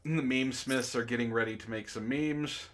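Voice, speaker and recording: A man hosting a comedy book club, reading aloud and bantering casually in an animated, mock-dramatic way, prone to laughing. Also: medium pitch, moderate pace.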